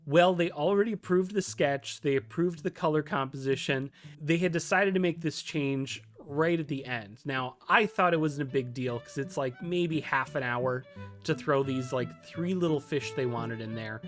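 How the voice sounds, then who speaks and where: A man's voice: a little high, moderate pace, a bit bright, soft background music. A man presenting an informal scripted tutorial in a conversational, emphatic way. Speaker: video host, game developer and former freelance artist.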